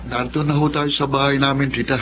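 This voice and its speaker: An actor playing a polite, protective young son and brother in a Filipino radio drama, with a Filipino accent. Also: male voice, mid-range voice, flat delivery, fast talker, natural voice. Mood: polite, calm. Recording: dull lo-fi radio sound, music underneath.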